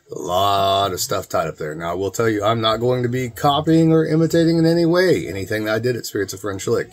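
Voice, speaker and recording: Middle-aged male vlog host, lowish voice, folksy and informal, talking straight to camera from a room at home. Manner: folksy, informal, addresses viewers as guys. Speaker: distiller and video channel host. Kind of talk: casual talking-head vlog. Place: small cluttered room at home, indoors.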